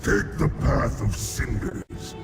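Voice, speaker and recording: Slow, commanding winged beast. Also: male voice, mid-range voice, very animated. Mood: commanding, triumphant. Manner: commanding, declamatory. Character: mighty winged male beast restored, proud, rallying warrior. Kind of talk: fantasy video game acting. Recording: distant, under loud game music.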